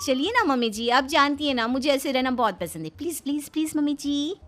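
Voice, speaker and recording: Woman as a lively, swaggering young daughter-in-law. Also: high-pitched, fairly quick. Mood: cheerful. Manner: playful, theatrical, delivers dramatic movie-style lines. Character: young swaggering film-obsessed daughter-in-law, cheerful and bold. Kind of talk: animated moral story dialogue.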